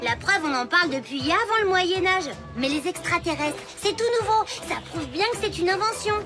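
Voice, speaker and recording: A cheeky, very high-voiced boy. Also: animated, a little fast, over music. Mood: insistent. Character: cheeky, argumentative young boy, skeptical about aliens. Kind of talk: animated series dubbing.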